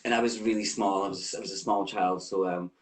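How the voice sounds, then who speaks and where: A man's voice: sits low, steady tone, fairly quick. A Scottish man from the west coast, a welfare manager chatting in a friendly way with hesitant ums. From west coast of Scotland. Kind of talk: casual chat.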